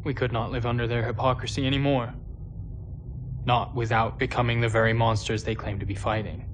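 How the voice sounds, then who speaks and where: A man's voice: mid-range voice, little pitch movement, fairly quick, a little hiss or hum, lightly acted. An actor playing an earnest, troubled male warrior defector in a game cutscene, with formal phrasing. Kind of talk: dramatic video game cutscene acting.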